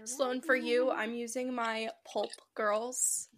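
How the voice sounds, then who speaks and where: A woman's voice: a little high, little pitch movement, takes it slowly, fairly bright tone. A woman co-hosting a casual podcast and reading tarot, with a congested, nasal voice from being sick. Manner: chatty, self-deprecating, laughs often. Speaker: podcast co-host.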